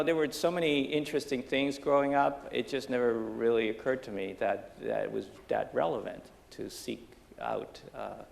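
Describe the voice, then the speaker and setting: A middle-aged man from Taiwan, a business professor on a panel stage, telling his life story in a halting way with many restarts and fillers. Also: fairly low pitch, vocal fry. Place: lecture stage under bright lights before an audience.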